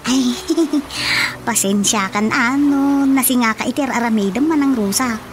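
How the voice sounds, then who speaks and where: A woman's voice: a little high, unhurried, a little hiss or hum, natural voice. A Filipino woman with an Ilocano accent playing a polite, chirpy young village daughter in a radio drama comedy. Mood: polite, chatty. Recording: music behind the voice.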